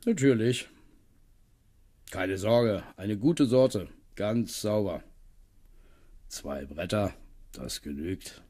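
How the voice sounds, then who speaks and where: An actor playing a kindly, practical farm tenant in a German radio play, talking in a coaxing, homely way. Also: male voice, on the low side, extremely slow, long pauses, dark tone. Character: plain-spoken middle-aged male farm tenant, kindly, practical.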